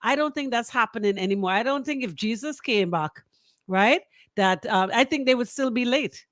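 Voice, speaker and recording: A woman's voice: on the high side, lively intonation, normal speed, no room sound, clean studio sound. A woman with a Bahamian accent hosting radio in a colloquial, emphatic banter style, repeating phrases for emphasis. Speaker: radio show host. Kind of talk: lively radio banter with sponsor shout-outs.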